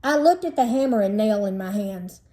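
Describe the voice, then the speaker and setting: A woman's voice: medium pitch, lively intonation, moderate pace, dark tone. A woman teacher reading a children's storybook aloud in an explanatory manner meant for young listeners.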